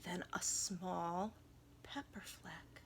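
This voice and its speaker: A woman reading a picture book aloud to children, expressively and dramatically, dropping into hushed tones and chatty asides. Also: on the low side, fairly even intonation, extremely slow, in a whisper. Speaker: volunteer children's story reader.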